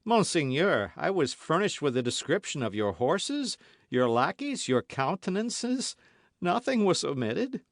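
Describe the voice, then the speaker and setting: A man's voice: on the high side, big pitch swings, measured pace, dry recording, studio quality, pitched above the performer's own voice. A man reading aloud, voicing a frightened innkeeper who pleads pitifully for mercy. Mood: pleading. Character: trembling, pleading middle-aged male innkeeper.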